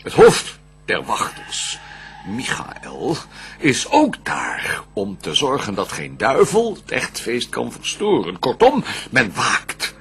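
A proud, scheming devil of verse radio drama, rasps out his plans slowly and grandly. The voice is gravelly and forceful, swooping from low menace to loud declamation. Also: wildly swooping pitch, faint music behind, heavily acted. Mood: wary, plotting. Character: vengeful banished devil, proud, scheming, fallen angel.

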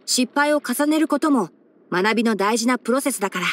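A woman voicing a boyish young male fox-eared forest ranger and scholar in an anime game, instructive and frank with a gently teasing manner. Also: fairly high pitch, lively intonation, fairly quick, close to an everyday voice. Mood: helpful, composed. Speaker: character voice actor. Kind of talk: anime game character voice lines.

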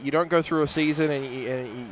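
A man's voice: fairly high pitch, fairly even intonation, quick pace, slight background noise, poor audio. A man in his thirties, a team broadcaster interviewing a coach after a game at an indoor rink on a headset mic. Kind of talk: postgame interview.

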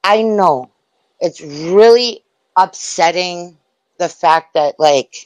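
A woman's voice: on the low side, slow, a little mellow, dry recording. A woman hurling aggressive, profane taunts in a heated argument, talking into a phone. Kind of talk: heated insult exchange in a live audio chat room.

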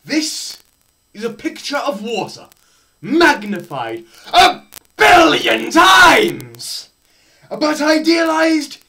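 A young man giving a dramatised science lecture, theatrical, swinging between shouts and hushed murmurs, with pauses and repetition for effect. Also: extremely high pitch. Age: young adult. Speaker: presenter. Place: small bare indoor room.

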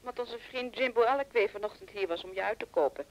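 An actress playing a sharp-tongued, sceptical assistant on the telephone in a radio play, with a tart manner. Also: female voice, medium pitch, fast, natural voice, low-quality recording. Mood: dry, teasing. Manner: tart, skeptical. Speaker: actress in a supporting role. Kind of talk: Dutch radio play acting.

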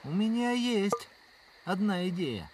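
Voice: mid-range voice, big pitch swings, slow pace, noisy background. An actor voicing a young, inquisitive and persistent pirate schoolboy in a cartoon, with an earnest, questioning manner. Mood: earnest, insistent. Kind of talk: cartoon voice acting.

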